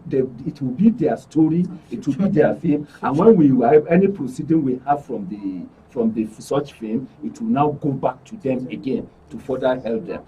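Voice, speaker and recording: A man's voice: medium pitch, normal speed, hardly any pauses, dark tone. A man with a Nigerian accent explaining things as a studio guest, recorded a little away from the microphone with faint noise. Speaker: guild official, studio guest. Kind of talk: explanatory interview talk. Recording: distant mic, faint noise.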